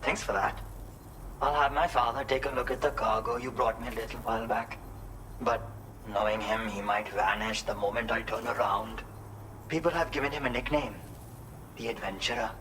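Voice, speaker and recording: A man's voice: mid-range voice, fairly even intonation, normal speed, crowd noise, lightly acted, rough recording. An actor playing a grateful, polite young man in a video game cutscene, speaking matter-of-factly. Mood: polite, wry.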